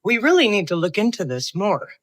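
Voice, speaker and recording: A woman delivering an earnest opinion monologue in an emphatic, convinced and deliberate manner. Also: medium pitch, sing-song, no room sound.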